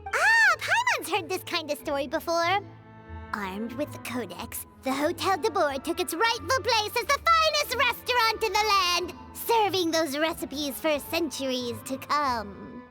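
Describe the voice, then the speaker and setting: A tiny, chirpy fairy companion from a video game, extremely high and childlike. Naive and inquisitive, the voice bounces up and down over prominent music. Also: female voice, sing-song, measured pace, exaggerated voice. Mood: eager. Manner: refers to self in third person, exclaims, questioning.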